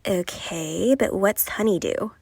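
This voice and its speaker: A woman playing a lovelorn, frustrated passenger venting confessionally in an animated series. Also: medium pitch, slow, gravelly, breathy edge, dry recording, heavily acted. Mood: questioning.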